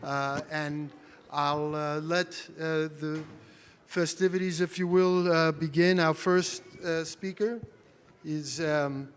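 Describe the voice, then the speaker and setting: A man's voice: a little high, steady tone, extremely slow, a little hiss or hum. A man chairing a conference panel, introducing the speaker with many fillers. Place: large conference hall. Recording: reverberant conference hall.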